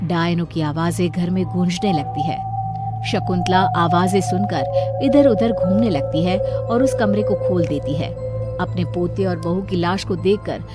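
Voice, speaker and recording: A woman's voice: lowish voice, unhurried. A woman reading scripted story narration as the narrator. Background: music.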